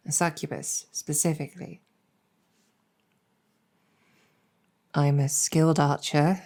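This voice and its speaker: A woman voicing an earnest, protective succubus demon general in an intimate audio roleplay, speaking deliberately with halting pauses. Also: sits low, darkish tone. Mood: measured, confiding. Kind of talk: intimate improvised roleplay storytelling, ASMR-adjacent.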